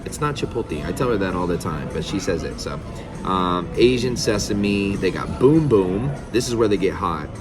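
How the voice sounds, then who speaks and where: Male vlog host from Florida, lower voice, hyped and slangy, keeps saying bro. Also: normal speed, music in the background. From Florida, USA. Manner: excitable, slangy, says "bro" a lot. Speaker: vlogger host. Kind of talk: casual vlog chat.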